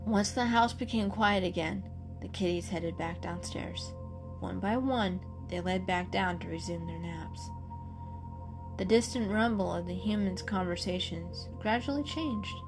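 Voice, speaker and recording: A woman's voice: medium pitch, a little slow. Woman reading a children's story over music.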